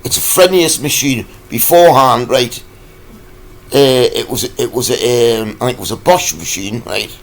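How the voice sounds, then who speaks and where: A man, croaky from a cold, ranting in a rambling, halting vlog full of fillers. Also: medium pitch, poor audio. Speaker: vlogger.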